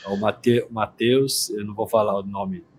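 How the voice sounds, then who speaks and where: A man's voice: on the low side, steady tone, normal speed, a little mellow, slight background noise. A Brazilian man co-hosting a casual film review chat, laid-back and slangy, laughing often. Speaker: podcast co-host.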